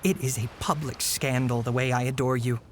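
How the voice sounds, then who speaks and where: An actor playing a bumbling, scheming male vampire suitor in a comedy horror audio drama, halting at times and declaiming falsely adoring lines. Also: mid-range voice, steady tone, a little hiss or hum, natural voice. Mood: theatrically adoring. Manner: halting, then stagily declaiming.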